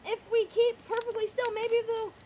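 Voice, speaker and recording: A woman reading aloud, voicing a nervous young boy who talks in a hushed whisper, with background noise. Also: very high-pitched, flat delivery, moderate pace, voice pushed up.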